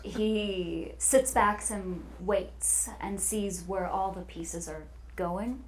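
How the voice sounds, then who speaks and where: A woman's voice: medium pitch, drawn-out words, a little hiss or hum, rough recording. A woman talking casually and expressively as a voice actor guest on a panel. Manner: animated, expressive.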